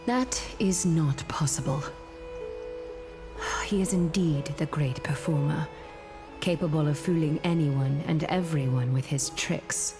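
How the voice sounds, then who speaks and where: Game role: composed female strategist-leader, deep for a woman, formal, poised and authoritative. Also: a little slow, over music. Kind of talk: video game voice acting.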